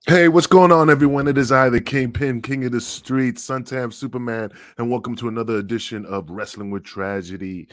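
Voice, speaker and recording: A man's voice: medium pitch, a little fast, few pauses, no room sound. A man from the United States hosting a casual podcast, talking loosely with lots of fillers and hyping up his guest. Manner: hype-man intro, loose and chatty with many fillers. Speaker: podcast host, former wrestler.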